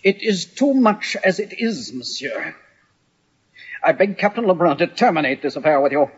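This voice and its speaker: Proud hotheaded army captain, old radio drama, husky n very animated, formal talk. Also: male voice, on the high side, moderate pace. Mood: offended, resolute. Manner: formal, dignified, theatrical diction. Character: proud, hot-tempered young army captain, newly transferred to the regiment. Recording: dull, band-limited old radio recording.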